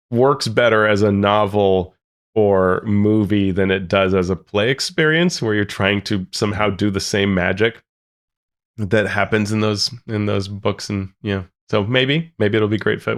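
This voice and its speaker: A man co-hosting a gaming podcast, giving his views in casual chat and thinking aloud with lots of restarts. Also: lowish voice. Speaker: podcast co-host.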